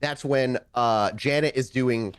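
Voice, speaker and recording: A man working as game master, narrating and refereeing a tabletop game in a casual, animated, conversational way. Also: medium pitch, takes it slowly, clean tone, no echo, studio quality.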